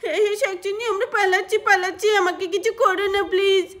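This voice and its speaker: A woman's voice: very high voice, fairly even intonation, fast, fairly bright tone, pitched above the performer's own voice. A woman voicing an easily scared village child who boasts of being brave, with childish, exclamatory, stammering bluster in a doll story. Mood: frightened.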